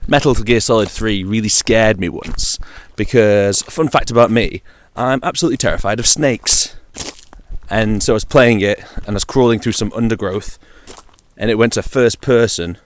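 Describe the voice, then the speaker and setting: A man with a British accent chatting informally on his own about games, in a dry, wry, jokey manner. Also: fairly low pitch. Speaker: video game vlogger.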